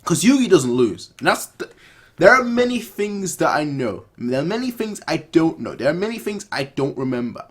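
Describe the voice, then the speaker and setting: A man's voice: a little high, big pitch swings, normal speed, husky. Man giving rambling, emphatic commentary. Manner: rambling, frequently doubling back and repeating phrases for emphasis; emphatic, opinionated. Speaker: reaction video host. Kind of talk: casual off-the-cuff commentary.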